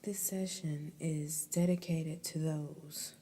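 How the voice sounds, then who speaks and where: A woman whispering a guided ASMR meditation in a breathy, soothing, deliberate way, pausing between phrases. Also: low-pitched, fairly even intonation, dark tone, a little hiss or hum.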